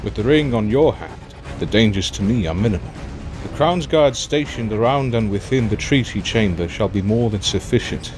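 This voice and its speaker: A man as a stately, low-voiced king. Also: lively intonation, over music, natural voice. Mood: calm, resolute. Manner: formal, measured, stately. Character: dignified, resolute aging king, calm and fatherly. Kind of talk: fan audio drama acting.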